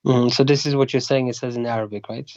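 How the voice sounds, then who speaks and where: A man debating in a hesitant, measured way and reading passages aloud. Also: mid-range voice, steady tone, darkish tone, dry recording. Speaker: debate guest. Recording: muffled dry audio.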